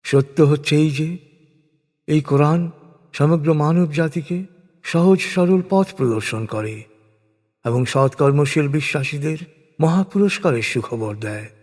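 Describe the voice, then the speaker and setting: A man reads scripted religious prose as a narrator, solemn and formal, with measured, declamatory phrasing. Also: a little high, a little mellow. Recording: long reverberant hall-like echo.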